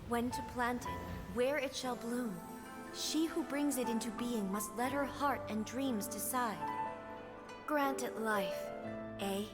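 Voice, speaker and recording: A woman voicing a gentle, serene goddess in a game, speaking in a soft, measured, ceremonial way. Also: fairly high pitch. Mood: tender. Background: game soundtrack music.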